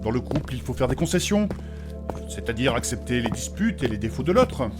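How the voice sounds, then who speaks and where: A man's voice: mid-range voice, sing-song, fairly quick, over music, close to an everyday voice. A man playing a formal, courteous, earnest and moralizing companion in a comedic fantasy audio drama.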